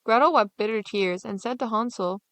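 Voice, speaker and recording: A woman's voice: fairly high pitch, brisk, fairly bright tone, dry recording. A woman storyteller reading a scripted fairy tale as narration.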